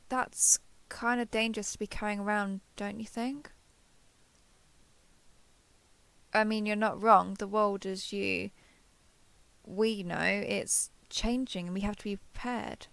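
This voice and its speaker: Close, creaky-voiced woman acting as a clingy alien girl. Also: medium pitch, unhurried, dry recording. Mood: wary, placating. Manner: hesitant, coaxing, softly over-eager to please. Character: female alien posing as a lonely, clingy, eager human survivor. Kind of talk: intimate audio roleplay addressed to the listener.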